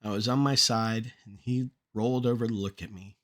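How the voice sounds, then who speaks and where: A middle-aged man performing rhymed spoken-word poetry in a measured, deliberate delivery with short phrases. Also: fairly low pitch, steady tone, a bit bright.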